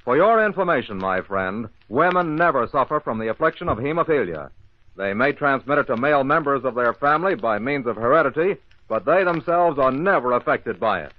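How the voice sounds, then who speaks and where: An actor playing a calm, courteous but firm police inspector in an old-time radio mystery, deliberate and authoritative. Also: male voice, medium pitch, natural voice. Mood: stern, accusing. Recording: roomy, dull old radio recording.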